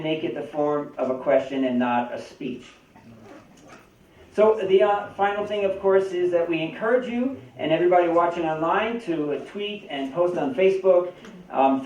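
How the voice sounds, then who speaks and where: A man's voice: fairly high pitch, a little mellow. A man moderating a panel event, giving friendly announcements with frequent hesitations. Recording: roomy, distant from the mic.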